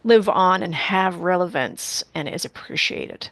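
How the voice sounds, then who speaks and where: A woman's voice: fairly low pitch, measured pace, fairly bright tone, dry recording. A woman hosting a casual interview, easygoing and laughing often. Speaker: interviewer, host.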